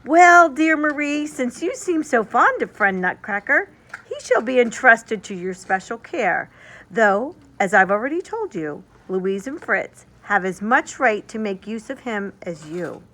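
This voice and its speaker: A woman voicing a kindly storybook father who explains things gently and deliberately to children. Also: fairly high pitch, very animated, exaggerated voice. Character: kindly, measured father and doctor addressing children.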